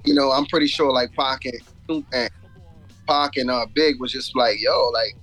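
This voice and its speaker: A man's voice: medium pitch, fairly even intonation, moderate pace, fairly bright tone, soft background music, poor audio. A man from Jamaica and Florida chatting casually as a rapper guest in an interview, telling stories in slangy talk with frequent asides. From born in Jamaica, grew up in Florida.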